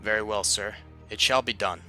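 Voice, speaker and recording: Man doing a veteran clone captain, formal and urgent, bright tense tone. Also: fairly low pitch, normal speed, faint music behind. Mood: dutiful. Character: veteran male clone captain, dutiful soldier.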